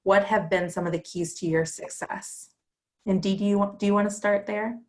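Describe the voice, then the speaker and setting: A woman's voice: mid-range voice, fairly even intonation, moderate pace. A woman moderating a panel discussion, putting questions to the speakers.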